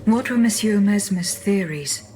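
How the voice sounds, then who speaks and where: An actress playing a calm, curious female automaton investigator in a video game, polite and direct. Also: mid-range voice, a little slow, a little hiss or hum, natural voice. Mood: calm inquiry. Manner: composed, polite, direct questioning. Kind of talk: video game cutscene dramatic acting.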